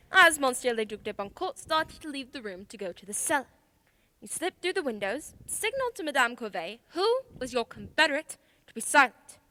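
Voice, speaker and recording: Woman acting female sleuth, radio drama, very bright, lively intonation, precise, assertive. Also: high-pitched. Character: confident female detective, sharp and methodical, accused of murder.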